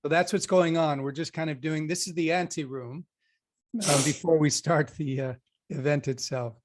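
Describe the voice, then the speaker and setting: A man's voice: fairly high pitch, steady tone, normal speed. Friendly male host running a video call. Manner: friendly, guiding and organizing the call. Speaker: interview host. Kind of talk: casual video-call hosting.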